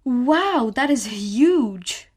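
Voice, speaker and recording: Female doing an eager little daughter in a radio play, inquisitive, kinda high voice. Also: lively intonation, drawn-out words, slightly rough, exaggerated voice. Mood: curious. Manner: inquisitive, pleading. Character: curious, eager seven-year-old daughter. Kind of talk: scripted radio play acting.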